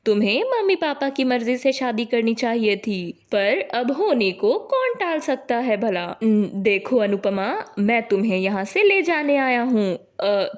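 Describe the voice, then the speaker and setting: Worried, weak-willed brother played by a woman, halting and apologetic, slow overall delivery, for a moral-story cartoon. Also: fairly high pitch, sing-song. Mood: concerned. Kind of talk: animated moral story dialogue.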